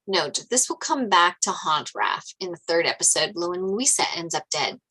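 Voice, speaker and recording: A woman's voice: on the low side, expressive, moderate pace, bright, forceful tone, breathy edge, poor audio. A woman narrating stage directions from a screenplay at a table read.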